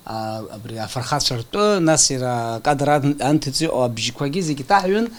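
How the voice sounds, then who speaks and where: A man's voice: medium pitch, animated, moderate pace. Guy explaining what he works on, mid voice, choppy, keeps restarting. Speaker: interviewee working on animation sound. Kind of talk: unscripted explanation, demonstrating.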